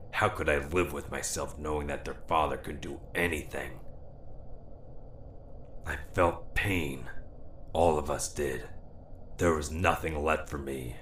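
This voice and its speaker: A guilt-ridden, bereaved assassin, with an extremely deep voice and slow, halting speech. He pleads like a broken man in a fantasy audio drama, heard in a roomy echo. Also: fairly bright tone. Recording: roomy echo with faint noise.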